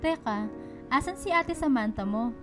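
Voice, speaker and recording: A woman's voice: high-pitched, lively intonation, normal speed. A Filipino woman playing a worried, caring middle-aged single mother in a radio drama. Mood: warm. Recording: music behind the dialogue.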